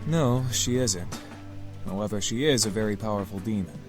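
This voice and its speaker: Man as a deep, composed gentleman demon. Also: over music, close to an everyday voice. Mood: polite, grave. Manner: formal, measured. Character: composed, protective male demon, polite and grave. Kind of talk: visual novel voice acting.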